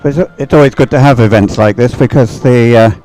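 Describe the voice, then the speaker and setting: Man, live race commentary, halting, thinking aloud, music in background. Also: medium pitch, warm tone, poor audio. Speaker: sports commentator.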